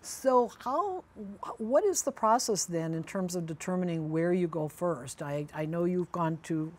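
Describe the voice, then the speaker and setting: A woman's voice: fairly low pitch, animated, a little slow. Middle-aged woman host asking questions. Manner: brief, encouraging interjections. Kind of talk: interview questions. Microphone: lapel mic.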